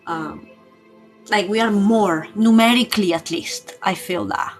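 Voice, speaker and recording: A woman's voice: mid-range voice, a little slow. Informal woman chatting over music. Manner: informal, giggly, rambling. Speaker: livestream tarot reader and spiritual coach. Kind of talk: casual live spiritual chat. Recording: music playing behind the voice.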